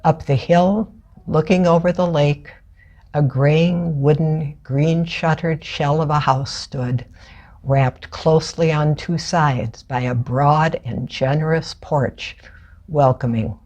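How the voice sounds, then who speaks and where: A person reading poetry aloud in a measured, deliberate way, pausing between short phrases. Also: medium pitch, fairly even intonation, a little mellow, muffled recording. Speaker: writer reading their own work.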